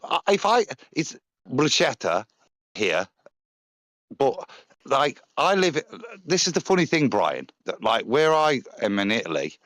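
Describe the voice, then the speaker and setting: Chatty Nottingham man with lively pitch. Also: unhurried, dry recording. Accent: Nottingham English. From England. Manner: chatty storyteller with broad pitch swings and impressions. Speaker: panel guest. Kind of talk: casual chat on a live stream.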